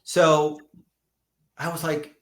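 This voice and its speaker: A man in his fifties, a doctor being interviewed, telling stories in a lively way, often restarting and repeating words. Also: fairly high pitch, very slow, roomy sound. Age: 50s. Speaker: functional medicine doctor, guest. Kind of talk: conversational podcast interview.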